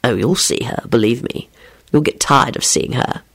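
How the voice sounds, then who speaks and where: Low-voiced smitten young man done by a woman, earnest, wry, soft-spoken, understated, storybook romance. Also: normal speed, husky, exaggerated voice. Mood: amused.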